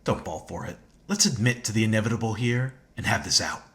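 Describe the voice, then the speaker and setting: An actor playing a stern, distrustful rival in a video game, terse, dry and confrontational. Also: male voice, lowish voice, lively intonation, brisk, fairly bright tone. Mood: stern warning.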